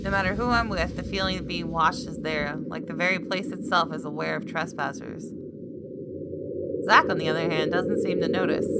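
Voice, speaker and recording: A woman's voice: lowish voice, heavy background noise. A woman reading first-person story narration expressively, as a gamer playing through a visual novel. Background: loud game music.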